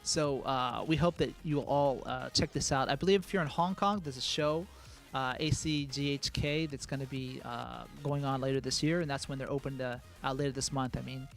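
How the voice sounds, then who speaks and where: A man giving a live product presentation as a game producer, speaking in a relaxed way full of "uh"s. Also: brisk, vocal fry, few pauses, soft background music. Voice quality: calls his own voice high. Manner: relaxed, filler-heavy speech with many "uh"s.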